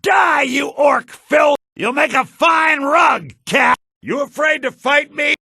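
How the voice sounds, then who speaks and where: A man playing a sly, grasping shopkeeper in a fantasy video game, with a raspy, theatrical delivery, in low-bitrate compressed audio. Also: takes it slowly, pushed and bright, no room sound, shouting, studio quality, exaggerated voice. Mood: suspicious. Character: sly fantasy male shopkeeper, grasping, quick to yell at thieves. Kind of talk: video game character barks.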